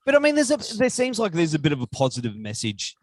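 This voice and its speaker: Enthusiastic male podcast host, very animated intonation, dry close sound. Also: fairly high pitch, fast, dry recording. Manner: enthusiastic, chatty, rambling questions. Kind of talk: casual interview chat.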